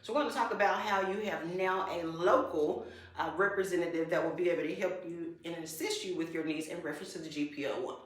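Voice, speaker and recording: A woman's voice: fairly low pitch, fast. A woman hosting a live podcast in a small studio, bubbly and exclamatory, calling listeners into the room. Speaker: podcast host. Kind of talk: casual live-stream chat. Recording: very echoey room.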